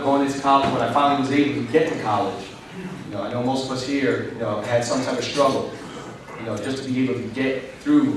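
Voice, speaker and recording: Man, middle-aged, rambling keynote speaker; distant, roomy hall sound with noise underneath. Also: medium pitch. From New York, United States. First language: English. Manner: rambling, with frequent "you know" fillers. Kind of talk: conversational keynote speech. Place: large convention hall. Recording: echoey hall from far off.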